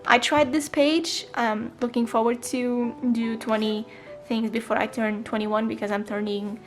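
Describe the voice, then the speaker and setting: A woman's voice: a little high, flat delivery, a little slow, faint music behind. A twenty-year-old woman chatting casually in a voice-over, with frequent ums and small self-corrections. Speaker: planner vlogger. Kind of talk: casual voice-over flip-through.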